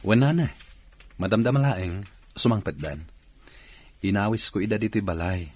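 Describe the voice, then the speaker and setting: An actor playing a polite, modest young bachelor in an Ilocano radio drama. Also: male voice, lowish voice, animated, moderate pace, darkish tone, slightly rough. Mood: respectful.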